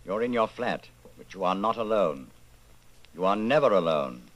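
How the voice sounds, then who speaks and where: A man with a lowish, animated voice narrates a radio drama. His phrasing is deliberate and ominous, in an old-time theatrical style. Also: slight background noise.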